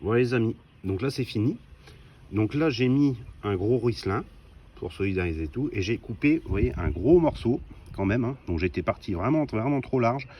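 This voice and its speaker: A man's voice: medium pitch, animated, moderate pace, muffled recording. A man giving a casual, down-to-earth hands-on demonstration as the host of a hobby vlog. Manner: informal, down-to-earth, often tagging phrases with "hein". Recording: noisy field recording.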